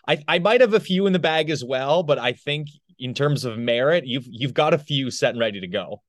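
Casual man, mid-pitched, dry sound. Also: expressive, brisk, no room sound. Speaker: podcast co-host. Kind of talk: casual chat.

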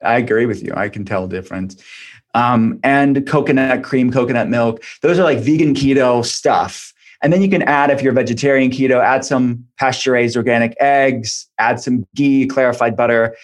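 A man's voice: mid-range voice, normal speed. Man explaining health topics in conversation. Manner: informal, explanatory, peppered with "like". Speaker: expert guest, functional medicine practitioner and author.

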